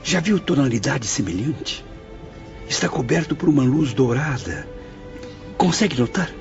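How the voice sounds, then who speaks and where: A man as a curious spirit newcomer, gravelly and lively, muffled with music. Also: mid-range voice, measured pace. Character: wondering male spirit newcomer, reflective. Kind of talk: audio drama acting.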